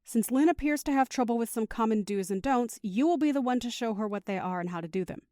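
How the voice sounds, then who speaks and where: A woman's voice: fairly high pitch, expressive, fast, dark tone, dry recording, studio quality, lightly acted. A woman playing a firm, protective, teasing mother of two in an audio drama, instructing patiently.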